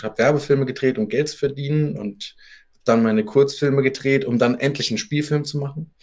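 A man's voice: mid-range voice, a little mellow. Conversational man telling anecdotes. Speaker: interview guest, audio drama author. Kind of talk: conversational interview, anecdotal storytelling.

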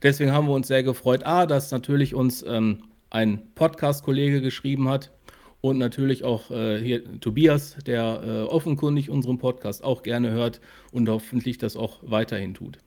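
A man co-hosts a casual podcast. He speaks at a medium pitch in a hesitant, conversational way, feeling for his words and often starting a phrase twice. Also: moderate pace, darkish tone. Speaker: podcast co-host.

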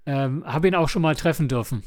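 A man's voice: fairly high pitch, brisk, a little mellow. A man co-hosting a podcast, discussing and reviewing in a casual, rambling way as he searches for words. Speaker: podcast co-host and audio drama maker.

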